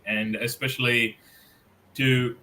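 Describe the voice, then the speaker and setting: A man's voice: mid-range voice, little pitch movement, slow, bright, forceful tone, slight background noise. A man co-hosting a casual football podcast, speaking hesitantly with many fillers. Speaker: podcast co-host.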